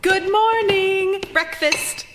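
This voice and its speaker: An actress playing a dutiful, fussing sister-in-law in period radio theater, proper, coaxing and deferential. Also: female voice, very high-pitched, drawn-out words, background noise, full character voice. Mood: coaxing, soothing. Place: theater stage, live performance.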